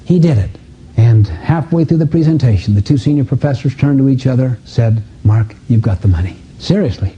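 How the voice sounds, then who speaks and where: A man's voice: mid-range voice, dark tone, slightly rough. A man giving a motivational seminar lecture, telling anecdotes in an earnest, emphatic way. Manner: earnest, emphatic, storytelling delivery. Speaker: seminar speaker. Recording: dull muffled lo-fi audio.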